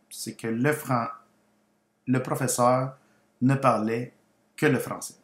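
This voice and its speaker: A man with Spanish as his first language narrates in an educational style, setting out each phrase deliberately. His voice sits at a medium pitch. The recording is roomy, with clear echo around the voice. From Guatemala, moved to Quebec, Canada aged ten. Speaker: language teacher and channel host. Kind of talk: personal story told as a slow educational narration.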